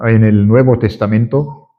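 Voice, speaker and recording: A man preaching a sermon at a church conference in Spanish, which is not his first language, speaking slowly and haltingly with many fillers and restarts. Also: lowish voice, steady tone. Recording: dull lo-fi recording.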